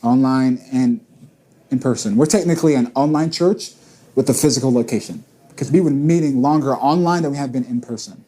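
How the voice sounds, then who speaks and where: A man's voice: mid-range voice, a little fast, dark tone. A man in his late twenties, a church planter and pastor, talking earnestly in a casual panel chat and repeating words as he thinks. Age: 20s.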